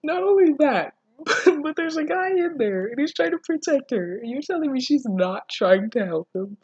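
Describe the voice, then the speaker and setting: A woman's voice: sits high, sing-song, normal speed, darkish tone, dry recording, muffled recording. A woman reading fan fiction aloud and reacting casually, with an incredulous, self-deprecating manner and free swearing. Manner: giggly, breaking off to comment. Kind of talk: casual reaction commentary mixed with read-aloud.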